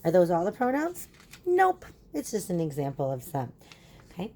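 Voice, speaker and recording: A woman's voice: lowish voice, wildly swooping pitch, normal speed, mellow. A woman giving a children's lesson and reading a storybook aloud, instructive and asking her listeners questions. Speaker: teacher reading a picture book aloud.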